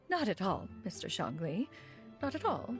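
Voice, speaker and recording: An elegant, poised collector speaks in gracious, old-fashioned formal phrasing. Her voice sits in a middle register but moves lively and expressively through its pitch. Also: measured pace, faint music behind.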